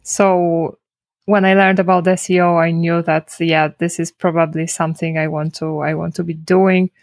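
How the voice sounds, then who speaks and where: Woman on a podcast, low-ish flat voice, lots of like and restarts, dry sound. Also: moderate pace, dry recording. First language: Polish. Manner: hesitant, many restarts and "like" fillers. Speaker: guest, SEO consultant. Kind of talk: casual interview.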